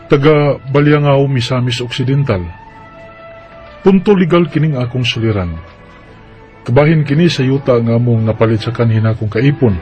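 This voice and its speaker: A man's voice: medium pitch, moderate pace, long pauses, darkish tone, faint music behind, lightly acted. A man acting an earnest, hesitant Bisaya partner who explains his plans in a colloquial radio drama style. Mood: plain, confiding. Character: earnest Bisaya man saving for land and marriage. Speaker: radio drama lead and letter narrator. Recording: dull lo-fi radio drama recording.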